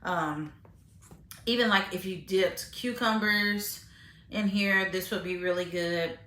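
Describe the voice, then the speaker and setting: A woman's voice: medium pitch, takes it slowly, pushed and bright, reverberant. A woman vlogging casually about grocery finds, chatty with fillers, tasting food as she talks. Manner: chatty, frequent fillers and restarts; eats while talking, tasting food on camera. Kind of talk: casual chat to camera.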